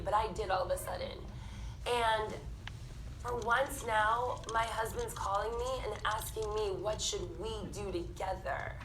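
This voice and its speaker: Woman, 30s, panel speaker, bright forceful tone, roomy hall with faint hiss. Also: fairly high pitch. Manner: candid, animated storytelling. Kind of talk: personal testimony on stage. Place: theatre stage.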